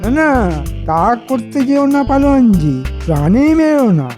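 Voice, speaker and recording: Sly royal minister, high mellow voice, persuasive, cartoon villain. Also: male voice, sing-song, normal speed, faint music behind. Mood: ingratiating. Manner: insinuating, persuasive. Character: sly, scheming royal minister feigning loyal innocence. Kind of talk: Bengali cartoon dialogue.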